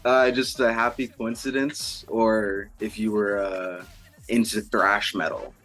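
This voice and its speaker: A man's voice: lowish voice, steady tone, slow pace, fairly bright tone, faint music behind, rough recording. A man interviewing a musician, asking wordy, descriptive questions in a prepared but informal way.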